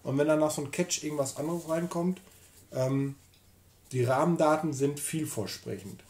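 A man's voice: on the high side, moderate pace, a little mellow. A man in his thirties co-hosting a casual whisky tasting at home, reading out label details in a chatty way. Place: home room with whisky shelves, seated in armchair.

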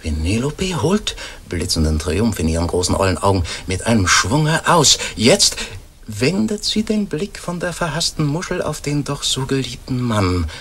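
An actor playing a learned doctor giving formal, suspenseful live commentary on a silent scene in a radio play. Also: male voice, medium pitch, big pitch swings, normal speed, a bit bright, breathy edge, full character voice. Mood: excited, admiring.

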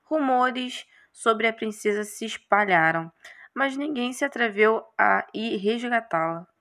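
A woman's voice: fairly high pitch, animated, measured pace, a bit bright. A woman with a Brazilian accent, hosting a casual gaming let's play and reading aloud as she goes. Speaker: gaming channel host.

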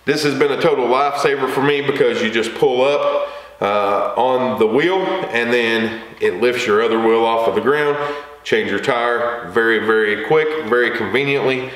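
A man's voice: medium pitch, normal speed, a bit bright. A middle-aged man giving a casual, plain-spoken, folksy walkthrough to camera as a video host. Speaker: lawn care video host. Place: small indoor workshop or garage with wooden shelving. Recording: echoey room, faint background hiss.

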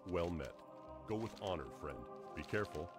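Game bark: low-voiced human guard, formal and clipped, over game music. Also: male voice, lively intonation, normal speed. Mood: dutiful. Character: stern male human town guards. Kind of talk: game character barks. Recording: game audio under music.

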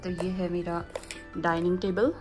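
Young female vlogger, casual and giggly, slightly low voice, background music. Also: little pitch movement, moderate pace. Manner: chatty, giggly, talks to camera while showing food. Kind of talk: casual daily vlog chat. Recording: music playing behind.

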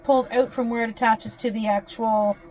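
A Canadian woman chatting to viewers in a van, lively and quick to laugh. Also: a little high, rough recording. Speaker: van life vlogger. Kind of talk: casual chat to viewers. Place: small van interior.

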